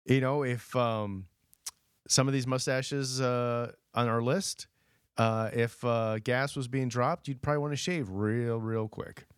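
A man co-hosting a podcast in a mid-range voice. He pauses often with ums and uhs, and his humor is dry. The recording is close and dry, with no echo. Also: mid-range voice, fairly even intonation, smooth. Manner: hesitant, full of ums and uhs, dry wit. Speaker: podcast co-host. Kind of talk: casual podcast banter.

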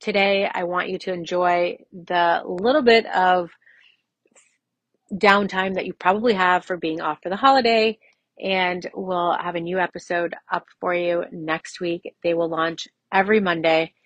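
A woman in her forties speaks in a fairly low voice, recorded dry with hardly any room around it. She hosts a podcast on her own, chatting personally to her listeners. She leans on key phrases, saying them again for emphasis. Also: steady tone, unhurried, fairly bright tone, no room sound. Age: mid-40s. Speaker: podcast host. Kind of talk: solo podcast talk, conversational and personal.

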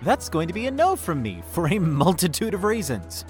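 A man playing an earnest, soft-hearted young man in love, in an audio drama. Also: high voice, huge pitch swings, normal speed, soft background music. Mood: weary, dry. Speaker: voice actor.

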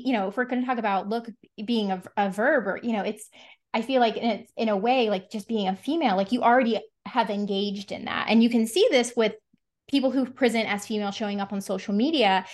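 A woman's voice: fairly high pitch, brisk. A woman hosting a casual interview, affirming her guest and reflecting at length on her own ideas. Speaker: podcast host.

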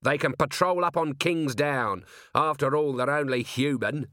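A man voicing a gruff, short-tempered police commander in an audiobook, curt, menacing and sardonic. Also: mid-range voice, a little slow, fairly bright tone, dry recording, studio quality, pitched above the performer's own voice, lightly acted. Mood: brusque.

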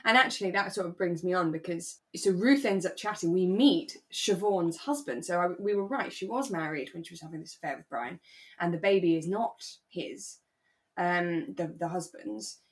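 A woman co-hosting a podcast in relaxed, chatty conversation about a radio drama. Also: fairly low pitch, normal speed. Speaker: podcast co-host.

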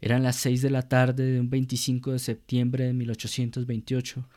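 A man narrating a literary radio drama in a measured, solemn, descriptive manner. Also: medium pitch, monotone, warm tone.

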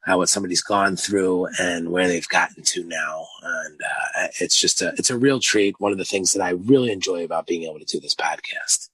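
A man with a raspy, hoarse voice co-hosting a podcast in casual chat, rambling enthusiastically with plenty of fillers. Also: low voice, fairly quick, few pauses, sharp tone, no room sound, low-quality recording. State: hoarse after a busy weekend. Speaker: podcast co-host.

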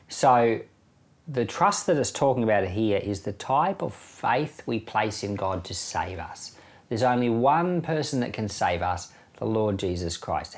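A middle-aged man with an Australian accent gives informal Bible commentary as a pastor, in a plain-spoken, conversational way. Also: on the low side. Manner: conversational, plain-spoken, chuckles now and then. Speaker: pastor hosting a Bible podcast.

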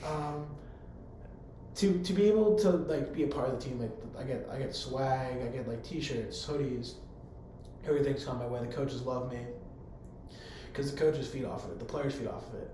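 Hoarse young man in an echoey room. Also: medium pitch, fairly even intonation, moderate pace. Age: young adult. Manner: eager, rambling, filler-heavy. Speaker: college public address announcer. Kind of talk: casual interview answers. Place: room on a college campus. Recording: very echoey room, faint background hum.

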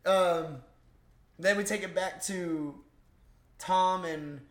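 A young man co-hosting a casual movie discussion, talking in an excitable, slangy way. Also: high-pitched, extremely slow. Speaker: podcast co-host. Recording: echoey room.

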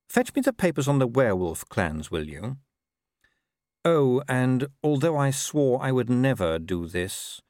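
A man voicing a wry, amused older ruler in an audiobook, speaking in a measured, absent-minded way. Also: medium pitch, a little mellow, no room sound, clean studio sound. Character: dry, unhurried, amused older male ruler. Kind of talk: audiobook character voice.

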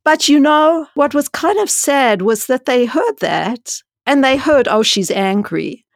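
A woman who is a dean and scientist, telling her story frankly in an interview with a loud voice, peppered with "you know" and rising for emphasis. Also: high-pitched, lively intonation, measured pace, vocal fry, dry recording, clean studio sound.

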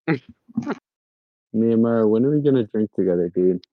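A man in his twenties, excitable and saying "dude" constantly in casual podcast chat. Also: on the low side, unhurried, no echo, narrow, tinny audio. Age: 20s. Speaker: podcast co-host.

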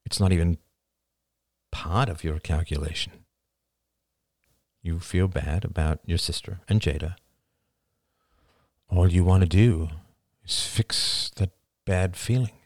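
A man reading aloud, voicing a grown son who talks to his mother in an earnest, measured, quietly firm way. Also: sits low, dry recording, clean studio sound, heavily acted.

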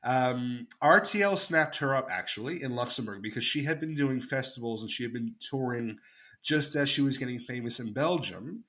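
A man's voice: mid-range voice, normal speed, a bit bright. A man hosting a casual podcast chat, telling a story with lots of fillers and enthusiasm. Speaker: podcast host.

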